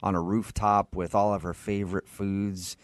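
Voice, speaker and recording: A man's voice: deep voice, flat delivery, normal speed, dry recording, clean studio sound. A man hosting a radio show, chatting casually and probing a caller in a chummy, conversational way. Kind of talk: casual prank-style phone interview banter. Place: radio studio.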